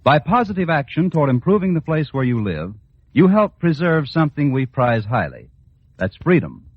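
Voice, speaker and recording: Husky, lively vintage radio announcer. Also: male voice, on the high side, moderate pace, no echo. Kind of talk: 1940s radio announcing, scripted public-service appeal. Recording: dull vintage 1940s radio recording.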